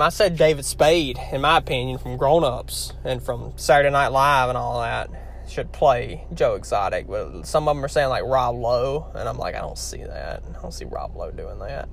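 A man's voice: mid-range voice, moderate pace, creaky. Chatty young man, heavy Louisiana drawl. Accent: heavy Southern US, Louisiana. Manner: chatty, rambling, talks straight to viewers. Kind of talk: casual talk to camera.

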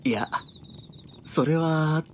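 Man playing a slow, diffident young man. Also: on the high side, slight background noise. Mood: hesitant, apologetic. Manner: polite, tentative. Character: polite, diffident young man, lost in forest. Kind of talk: audio drama acting.

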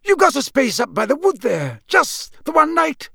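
A man's voice: very high voice, wildly swooping pitch, moderate pace, vocal fry, fairly bright tone, dry recording, studio quality, voice pushed up, exaggerated voice. A man voicing a blunt, suspicious rural campsite keeper in an audiobook, nosy, folksy and plain-spoken. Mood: curt.

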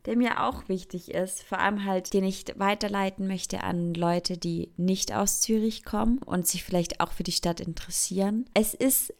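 A woman's voice: mid-range voice, fairly even intonation, moderate pace, creaky, hardly any pauses. A young woman from Thurgau with a Swiss German accent that is partly Zurich, talking candidly about her own life in a casual vlog. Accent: Swiss German, Thurgau mixed with Zurich. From Thurgau, Switzerland. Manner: candid, chatty, self-mocking. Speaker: vlogger. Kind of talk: casual personal monologue.

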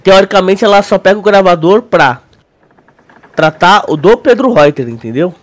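Young brazilian guy, pretty high voice, slangy relaxed podcast chat, lots of filler words. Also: moderate pace. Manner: slangy, with frequent filler words like "tipo" and "mano". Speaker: podcast co-host. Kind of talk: casual chat about a sci-fi audio drama.

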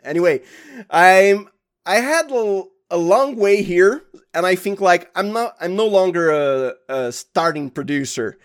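A man's voice: high-pitched, expressive, unhurried. A man with a Brazilian accent and Portuguese as his first language, chatting casually as a podcast guest and searching for his words. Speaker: podcast guest, audio fiction producer. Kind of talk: casual interview chat.

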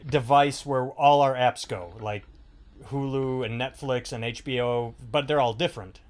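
A man's voice: medium pitch, fairly even intonation, normal speed. A middle-aged man hosting a podcast, rambling casually, swearing freely and restarting his sentences. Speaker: podcast host. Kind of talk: casual chat.

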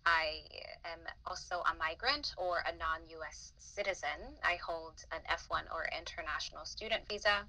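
A woman's voice: on the low side, normal speed, few pauses, pushed and bright, rough recording. A young adult woman from the Philippines, a guest on a podcast, talking thoughtfully with many fillers and restarts. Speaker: podcast guest, psychotherapist in training. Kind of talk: reflective interview talk.

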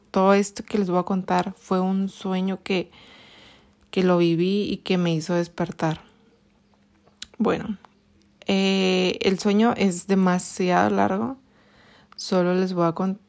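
Mexican woman, late twenties, podcast host; slow, halting personal storytelling, low-set voice. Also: a little mellow. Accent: Mexican Spanish. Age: 20s. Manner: slow, hesitant, with many restarts and fillers.

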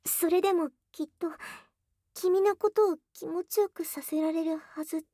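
A woman's voice: extremely high pitch, fairly even intonation, moderate pace, warm tone, no echo. A voice actress playing a young tsundere girlfriend, bashful yet teasingly bold, in an intimate ASMR audio drama on a close binaural mic. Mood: shy, hesitant. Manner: flustered, stammering, breathy asides dropping into whispers. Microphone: close binaural microphone, dry studio.

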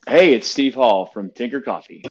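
A man's voice: mid-range voice, lively intonation, normal speed, darkish tone, dull audio. A man answering interview questions in a friendly, plain way, with ums and restarts. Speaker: coffee roaster, guest.

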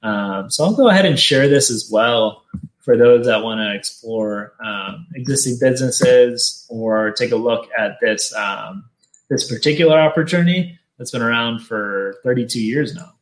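A man hosting an informational webinar, explaining steps in a steady, matter-of-fact way. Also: medium pitch, moderate pace. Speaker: webinar host, business consultant. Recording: roomy echo.

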